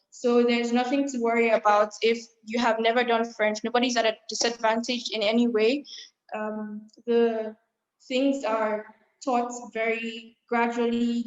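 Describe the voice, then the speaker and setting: A teenage schoolgirl speaks in an even, fairly high voice with very little rise and fall. The sound comes through a laptop webcam on a video call and is roomy and slightly dull. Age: teens. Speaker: student. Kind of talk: panel answer on a video call.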